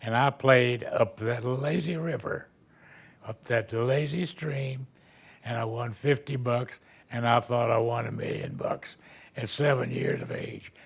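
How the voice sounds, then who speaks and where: Gravelly older Texan man reminiscing. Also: medium pitch, fairly even intonation, unhurried. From Texas. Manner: rambling, folksy storytelling with chuckles. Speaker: retired band director. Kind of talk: reminiscing interview answers.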